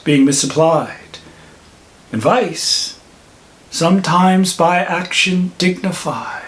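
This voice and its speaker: Casting: male actor as elderly holy friar for classical stage monologue. Higher male voice, unhurried theatrical verse, sage and admonishing. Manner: deliberate, measured, theatrical verse delivery. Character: old holy friar, wise, reflective, scolding mentor.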